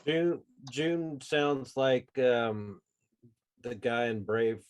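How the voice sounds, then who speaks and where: A man's voice: medium pitch, very slow. Man running a game, faint noise behind. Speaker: game master. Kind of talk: casual tabletop game talk.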